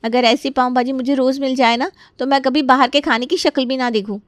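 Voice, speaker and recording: A woman's voice: high-pitched, fairly even intonation, a little fast, no echo, clean studio sound, voice pushed up, natural voice. Woman acting an eager, gushing college girl. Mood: delighted. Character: eager, gushing college-age younger sister.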